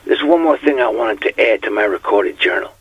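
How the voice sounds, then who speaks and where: A man's voice: fairly low pitch, expressive, fairly quick, husky, no room sound, dull audio. A man playing a weary, haunted police detective nearing retirement, speaking a reflective, confiding journal entry in an audio drama. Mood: grim, investigative.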